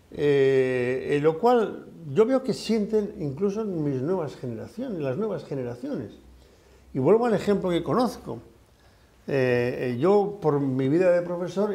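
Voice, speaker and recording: An older man speaks in a warm, mellow voice that swings widely in pitch. He talks in a thoughtful, conversational way, pausing often to search for words, like a retired professor sharing his views. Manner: thoughtful, with frequent fillers and hesitations. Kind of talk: conversational discussion.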